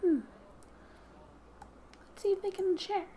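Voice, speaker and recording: A soft-spoken woman doing ASMR gaming commentary, gently reading story text aloud and often dropping to a whisper, in an unhurried way. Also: very high-pitched, fairly even intonation, a little hiss or hum. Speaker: video game streamer. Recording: quiet background music. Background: soft music.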